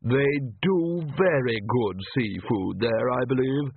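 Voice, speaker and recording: A man's voice: mid-range voice, animated, takes it slowly, creaky, slightly rough, no echo, heavily acted. A man voicing a shy, awkward young woman who hesitates and mumbles. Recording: lo-fi, narrow phone-like sound.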